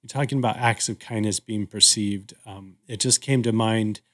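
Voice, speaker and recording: Man, low-pitched voice, casual podcast discussion, agreeable, reflective, slightly halting delivery. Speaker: podcast co-host.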